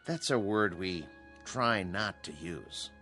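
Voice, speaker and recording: An actor playing a calm, authoritative psychiatrist in a radio drama, speaking in a measured, explanatory way. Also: male voice, on the low side, faint music behind, heavily acted. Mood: measured, explaining. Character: calm, authoritative male psychiatrist at a mental hospital.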